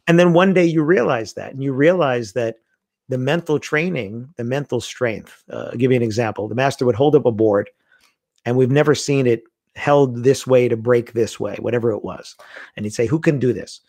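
A man's voice: mid-range voice, normal speed, no echo. A middle-aged man telling stories in a casual conversation, acting out the lines he quotes. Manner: animated storyteller, acts out quoted lines. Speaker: guest.

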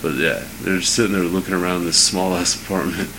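A deep-voiced man from Southern California co-hosting a casual podcast, rambling and swearing freely with lots of restarts. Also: steady tone, fairly quick, a bit bright. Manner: rambling and profane, with many restarts. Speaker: podcast co-host. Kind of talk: casual chat. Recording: noisy home podcast recording.

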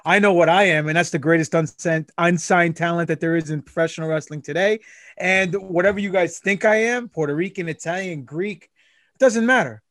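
A man's voice: fairly high pitch, a little fast, a bit bright, no echo, studio quality. A man, a wrestler guest on a podcast, talking in a boastful, hammy promo style full of trash talk. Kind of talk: casual chat in a brash wrestling promo manner.

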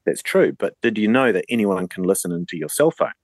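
Deep New man, dry close sound. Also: animated, a little fast, gravelly, dry recording. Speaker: interview guest. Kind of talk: explanatory interview conversation.

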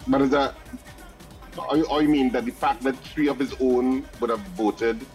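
A man's voice: mid-range voice, steady tone. Male radio co-host, easygoing debating tone over faint music. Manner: conversational, questioning, drawn-out fillers. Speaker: radio breakfast show co-host. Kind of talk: casual studio banter. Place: radio studio.

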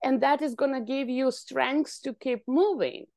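Energetic high-voiced woman. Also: measured pace, no room sound. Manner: energetic, emphatic, non-native grammar. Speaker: guest, hair stylist and business owner. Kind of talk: podcast interview.

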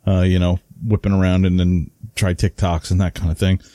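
A man's voice: deep voice, brisk, dry recording, clean studio sound. A man co-hosting a hobby podcast, chatting casually and telling stories with lots of fillers. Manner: rambling, animated storytelling with many fillers. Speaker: podcast co-host. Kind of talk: casual chat.

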